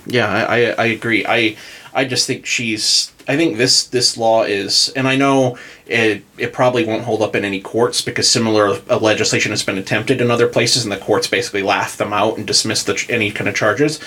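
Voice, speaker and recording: An American man with a bright, forceful tone and a husky grain hosts a casual political talk. He is opinionated and wordy, piling clause on clause. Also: on the low side, fairly even intonation, brisk. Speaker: podcast host.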